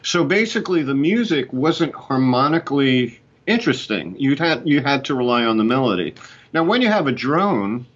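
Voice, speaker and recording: A man's voice: medium pitch, normal speed, breathy edge, highs cut off. A man in his sixties, a musician, chatting and telling stories on a headset over a video call from a room at home. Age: 60s. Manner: animated, chatty storyteller, laughs often. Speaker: musician and co-host. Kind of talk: casual conversation on a video call.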